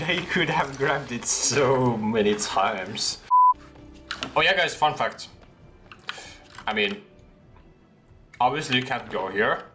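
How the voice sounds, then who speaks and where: A man's voice: medium pitch, sharp tone. A young man giving casual, reactive commentary on a horror game, exclaiming and repeating short phrases, recorded in a room at home. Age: young adult. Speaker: gaming video creator playing a horror game. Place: small room at home, indoors.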